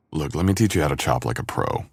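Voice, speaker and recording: Very deep-voiced, teasing boyfriend played by a man. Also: husky, dry recording, exaggerated voice. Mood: playful, gentle. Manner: playful, teasing, intimate. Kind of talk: boyfriend ASMR roleplay audio acting.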